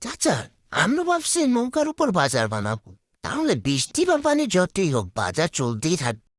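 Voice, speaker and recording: A woman's voice: fairly low pitch, wildly swooping pitch, normal speed. A woman voicing a determined, inventive village boy in a cartoon. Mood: earnest. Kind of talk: cartoon voice acting.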